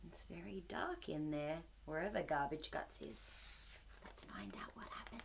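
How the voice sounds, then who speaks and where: Female children's storytime host: very lively pitch swings, unhurried delivery, exaggerated wonder for young listeners. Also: vocal fry, background noise. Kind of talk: animated picture-book read-aloud for young children.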